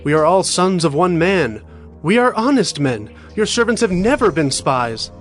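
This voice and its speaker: A man's voice: high voice, sing-song, normal speed. A man playing an anxious brother who pleads earnestly in a dramatized scripture reading, over faint music. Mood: pleading.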